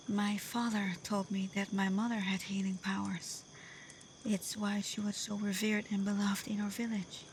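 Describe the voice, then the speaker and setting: A woman's voice: medium pitch, background noise, natural voice. Woman acting as tender, whispery young fox-spirit woman. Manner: breathy, emotional delivery often dropping into whispers. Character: young half-blood kitsune woman, tender, devoted and vulnerable. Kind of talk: ASMR roleplay voice acting addressed to the listener.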